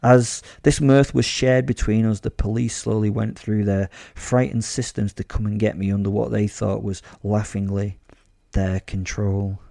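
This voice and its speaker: Male werewolf killer played by a man narrating horror drama: dark mellow tone, gravelly fry, theatrical, crude and gleefully sardonic, dry close sound. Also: fairly low pitch, level tone, moderate pace, dry recording. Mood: smug amusement. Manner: sardonic, relishing, theatrical, sweary. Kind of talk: first-person dramatic horror storytelling.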